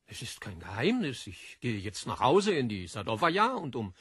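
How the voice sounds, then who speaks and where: An actor playing an urbane, self-important literary editor in a German radio drama, polite, formal and sceptical. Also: male voice, mid-range voice, wildly swooping pitch, moderate pace, a bit bright, slightly rough, no room sound, studio quality, full character voice. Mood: puzzled.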